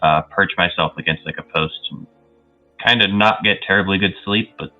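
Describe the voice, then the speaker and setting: A man's voice: deep voice, normal speed. A man playing a tabletop roleplaying game, casually and tentatively describing what his character does. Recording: dull lo-fi audio, music underneath. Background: music.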